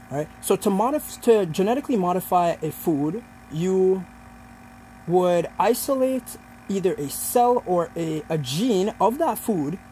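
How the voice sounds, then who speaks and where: A man's voice: high voice, dark tone, a little hiss or hum, low-quality recording. A young man giving an informal, explanatory student presentation in a hesitant way, feeling out his points as he talks. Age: young adult.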